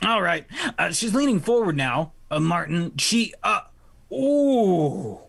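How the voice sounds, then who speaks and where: A performer playing a hesitant, gently teasing male coworker in a 1940s radio play, with coy, drawn-out pauses. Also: mid-range voice, sing-song, gravelly, dry recording, full character voice.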